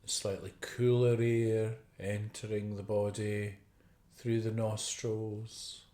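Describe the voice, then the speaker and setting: Guy doing a guided meditation, really slow and gentle, lots of pauses, echoey room. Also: lowish voice, level tone. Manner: gentle, unhurried, with long pauses. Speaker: meditation teacher. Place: indoor room. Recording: roomy, echoey video call recording.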